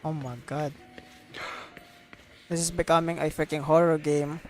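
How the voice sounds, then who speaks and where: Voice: mid-range voice, flat delivery, unhurried. A streamer reacting live to a game and reading its text aloud.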